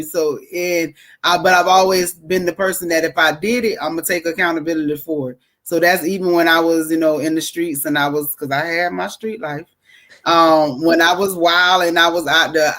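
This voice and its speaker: A woman's voice: fairly low pitch, fairly bright tone. A woman, guesting on a podcast over a video call and telling her story bluntly and plainly. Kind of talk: casual storytelling.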